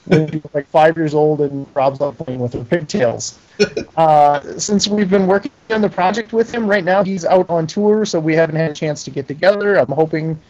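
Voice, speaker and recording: Higher-voiced man on a dull internet call. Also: normal speed, darkish tone. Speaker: interview guest, pinball game maker. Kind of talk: casual interview chat.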